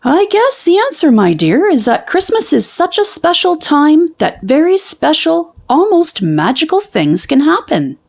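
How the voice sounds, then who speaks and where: A woman playing a kindly, reassuring father bear who gently explains things in a children's storybook. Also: sits high, sing-song, unhurried, vocal fry, few pauses, voice pushed up, full character voice. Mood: warm wonder.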